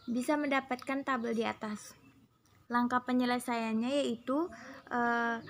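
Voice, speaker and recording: A woman's voice: sits high, pushed and bright, a little hiss or hum. A female student explaining the steps of a numerical method carefully, one step at a time. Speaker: student presenter.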